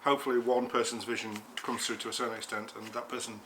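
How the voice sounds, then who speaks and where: A man's voice: mid-range voice, little pitch movement, fast, sharp tone, slight background noise. A man from Nottingham with an English accent, a guest designer chatting outdoors in a back garden, speaking plainly with hesitant ums. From Nottingham, England. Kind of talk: casual chat.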